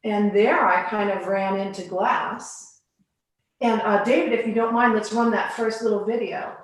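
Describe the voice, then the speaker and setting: A woman from the Bahamas and Virgin Islands, a glass artist giving a casual guided tour in an informal, halting way with pauses between phrases. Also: mid-range voice, fairly even intonation. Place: living room of a home studio. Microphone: phone on a selfie stick. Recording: echoey room, live video stream.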